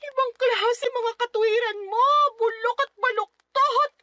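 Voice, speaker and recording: A woman's voice: extremely high pitch, steady tone, unhurried, pushed and bright, no room sound, heavily acted. A woman playing an exclaiming, needling older mother of a grown daughter in a radio drama. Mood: indignant scolding. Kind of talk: Tagalog radio drama acting.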